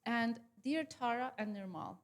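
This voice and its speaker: A woman's voice: a little high, expressive, a little slow. A woman from Turkey, reading a gratitude letter aloud in an earnest, formal way at a public farewell event. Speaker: audience member. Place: event hall. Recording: long hall reverb.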